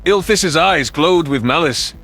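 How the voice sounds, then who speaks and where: A man's voice: fairly high pitch, a little slow, fairly bright tone, faint music behind. A man narrating a scripted first-person science fiction story.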